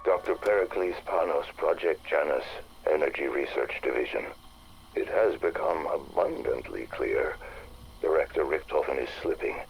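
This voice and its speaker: An actor playing an arrogant, sly scientist recording an audio log for a game, speaking deliberately and theatrically with disdain. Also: male voice, a bass voice, vocal fry. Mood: smug, secretive. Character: arrogant, sly male scientist gloating over his director's decline. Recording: noisy audio log tape.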